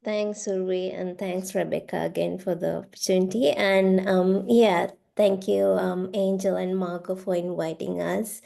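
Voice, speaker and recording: A woman from Sri Lanka, a research fellow giving a steady introduction to an online session, with frequent filler pauses. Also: lowish voice, vocal fry.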